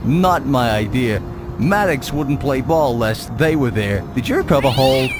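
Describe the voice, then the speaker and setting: An actor playing an excitable, streetwise male contact in a video game, slangy and animated. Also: medium pitch, unhurried, over music, close to an everyday voice. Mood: matter-of-fact. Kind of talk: dramatic video game cutscene acting.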